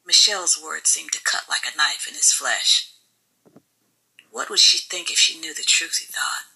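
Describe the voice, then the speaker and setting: A woman's voice: sits low, normal speed, gravelly, sharp tone, breathy edge, rough recording. A woman reading scripted fiction as an audiobook narrator.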